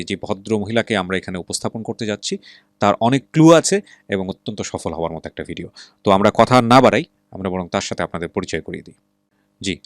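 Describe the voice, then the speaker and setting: A man's voice: fairly low pitch, no echo, clean studio sound. A man with a Bangladeshi accent presenting and interviewing conversationally as a radio show host, mixing in English words and probing with questions. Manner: probing, asks follow-up questions; mixes English words into speech.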